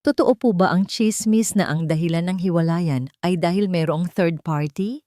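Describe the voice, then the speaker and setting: A tabloid reporter in a story drama, played by a woman narrator, polite yet pointed, with a Filipino accent. The voice is lowish and questioning, recorded very dry. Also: normal speed, mellow, no room sound, lightly acted. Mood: probing politely.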